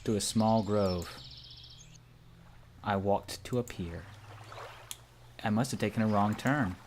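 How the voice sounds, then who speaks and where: A man's voice: lowish voice, slow, darkish tone, slight background noise. A man casually reading visual novel narration aloud as part of a group playthrough.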